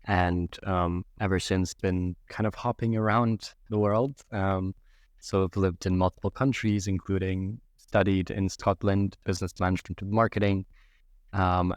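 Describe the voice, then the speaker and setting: A young adult man from Yemen, a podcast guest giving measured, reflective answers with frequent fillers. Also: low-pitched, no echo, clean studio sound. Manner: measured, thoughtful, many fillers.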